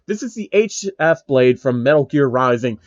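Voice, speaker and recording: Man as a calm male game mentor, lo-fi. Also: mid-range voice, moderate pace, no echo, natural voice. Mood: encouraging.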